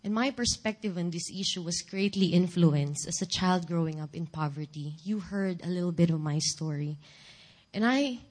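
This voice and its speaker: Lower-pitched Filipino woman preaching. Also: moderate pace, darkish tone. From Philippines. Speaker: guest speaker giving testimony. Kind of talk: church sermon and personal testimony.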